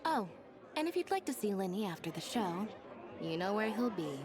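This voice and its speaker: A woman playing a composed, matter-of-fact young magician's assistant in a video game, calm and understated. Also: mid-range voice, moderate pace, crowd noise, close to an everyday voice. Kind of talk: video game character acting.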